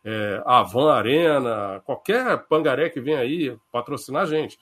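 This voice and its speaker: A Brazilian man in his fifties argues his point with emphatic, skeptical conviction. His voice is mid-pitched with a husky edge. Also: lively intonation, unhurried, fairly bright tone. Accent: Brazilian Portuguese. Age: 50s. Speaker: podcast co-host. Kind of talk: casual podcast chat.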